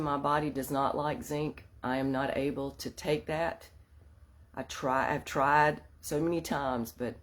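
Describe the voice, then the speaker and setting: A woman hosting a women's wellness group in a casual live-stream chat. She has a slightly stuffy nose and a mild sore throat, and she talks earnestly while laughing at herself now and then. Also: extremely low pitch. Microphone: phone or webcam for a live stream.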